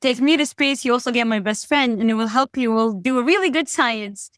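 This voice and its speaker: A woman's voice: a little high, fairly quick, a bit bright, smooth, no room sound, studio quality. A woman hosting a podcast, enthusiastic and upbeat, laughing easily. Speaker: podcast host. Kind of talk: casual interview hosting.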